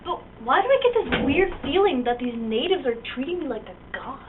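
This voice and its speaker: A cocky, grasping conquistador from a homemade puppet show, played by a woman. The voice is high and swings between grand proclamations and casual slang. The sound is tinny and far from the mic. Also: sing-song, a little fast, noisy background. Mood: smug, puzzled. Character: smug, greedy male Spanish conquistador, puppet show. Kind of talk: amateur comic puppet show.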